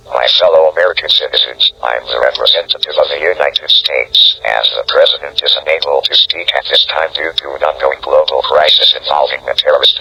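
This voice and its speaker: A man's voice: extremely low pitch, sharp tone, slightly rough, poor audio. A man delivering a scripted emergency address in a solemn, formal way. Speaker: speaker posing as government representative.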